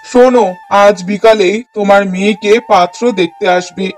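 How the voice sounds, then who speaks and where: A middle-aged cartoon father, played by a man with an unusually high voice for a man. He is stern and exasperated with his family. He lectures firmly and reproachfully. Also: moderate pace, slight background noise, lightly acted. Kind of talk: Bengali moral-story cartoon dubbing.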